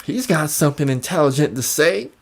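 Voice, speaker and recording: A man's voice: fairly high pitch, expressive, fairly quick, gravelly. A man hosting reaction commentary in a mocking manner, often repeating phrases for emphasis. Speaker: commentary host.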